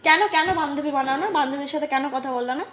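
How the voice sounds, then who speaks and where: A young adult woman, telling a story casually in a teasing, animated way and often checking that the listener follows. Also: sits high, fast talker. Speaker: vlogger. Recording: dull lo-fi recording.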